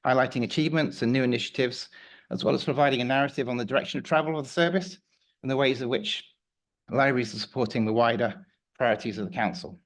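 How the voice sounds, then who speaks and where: Man, slightly croaky, council officer, formal committee presentation, measured delivery. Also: mid-range voice. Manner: measured, with some fillers and restarts. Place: council meeting room.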